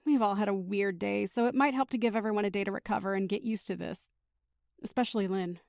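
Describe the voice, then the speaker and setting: A woman's voice: medium pitch, fairly even intonation, fast, vocal fry, darkish tone, no echo, studio quality, natural voice. A woman playing a caring, upbeat middle-aged mother in an audio drama, speaking in a chatty, reassuring way. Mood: polite, upbeat. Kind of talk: naturalistic audio drama acting.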